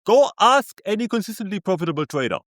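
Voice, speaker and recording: A man's voice: high voice, sing-song, fast, a bit bright, dry recording, studio quality. Sarcastic German-accented man ranting. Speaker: commentator. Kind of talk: scripted commentary.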